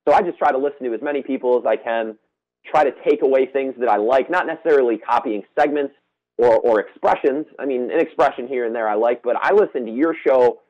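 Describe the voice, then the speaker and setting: A man's voice: mid-range voice, a little mellow, lo-fi sound. A man, a student radio host speaking as a guest in an earnest, eager way. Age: 20s. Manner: earnest, eager, quick-talking. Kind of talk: casual interview chat.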